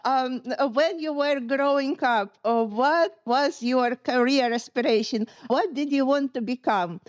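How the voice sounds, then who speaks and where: A woman hosting a podcast, reading a formal introduction and asking measured, polite questions. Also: high voice, no echo, muffled recording. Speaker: podcast host. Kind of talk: podcast interview and scripted intro.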